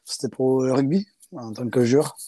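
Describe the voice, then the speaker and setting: A man's voice: mid-range voice, normal speed, warm tone, no room sound. Hesitant South African man speaking French. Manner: hesitant, many fillers and restarts in second-language French. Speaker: interviewee, rugby player. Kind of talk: casual interview.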